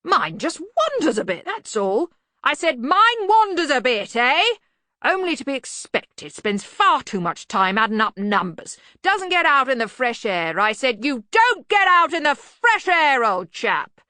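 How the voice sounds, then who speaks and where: A woman performs a bluff older male wizard in charge, from a comic fantasy audiobook. He blusters loudly and bluntly, missing the point. The delivery is forceful and swoops widely, in a dry recording. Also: medium pitch, sing-song, normal speed, few pauses, no echo, pitched above the performer's own voice. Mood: blustering, hearty. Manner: blunt, bellowing, obtuse. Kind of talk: acted audiobook dialogue.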